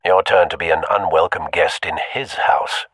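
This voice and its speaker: A man voicing a loyal older butler in a video game, droll and formal, with a husky voice. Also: sits low, steady tone, normal speed, a bit bright, no room sound. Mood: formal, wry. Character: dry, loyal older male butler and confidant.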